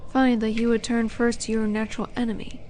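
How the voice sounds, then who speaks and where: A woman playing a flirty, condescending demon in an audio roleplay, teasing with unhurried menace and breathy asides. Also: on the high side, little pitch movement, a little mellow, slight background noise, natural voice. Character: flirty, teasing female demon, haughty would-be buyer of captives. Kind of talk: scripted audio roleplay monologue.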